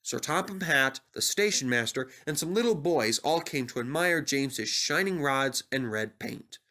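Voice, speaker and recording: A man's voice: mid-range voice, animated, normal speed, bright, forceful tone. A man reading a scripted children's story aloud as its narrator.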